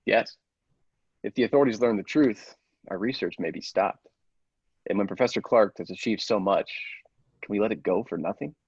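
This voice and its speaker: A man playing a grave, persuasive scientist's assistant in a radio play, deliberate and theatrical, with secretive zeal. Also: lowish voice, breathy edge, no echo, highs cut off. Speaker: podcast actor.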